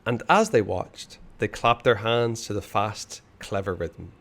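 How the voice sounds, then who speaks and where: A man reading a children's novel aloud, expressive and hushed in the dark passages. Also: on the low side, a little slow. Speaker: storyteller.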